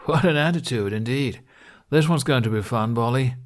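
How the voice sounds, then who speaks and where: A man's voice: mid-range voice, moderate pace, lightly acted. A male narrator acts out a burly young Viking man in an audiobook tale. He is boisterous and taunting, mocking his prisoner with relish. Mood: mocking amusement.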